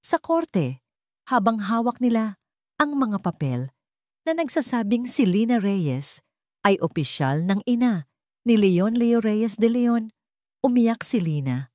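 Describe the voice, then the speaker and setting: A woman's voice: on the high side, very animated, slow, pauses often, darkish tone, dry recording, clean studio sound. A woman with a Filipino accent narrating a scripted dramatic audio story.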